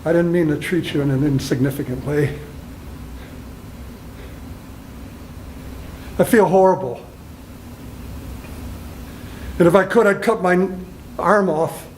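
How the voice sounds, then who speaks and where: Pleading, guilt-ridden boyfriend, acted by a man, emotional and halting, recorded with noticeable room noise. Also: fairly high pitch, darkish tone, lightly acted. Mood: anguished apology. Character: remorseful boyfriend, pleading and self-punishing. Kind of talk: dramatic monologue audition. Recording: noisy room.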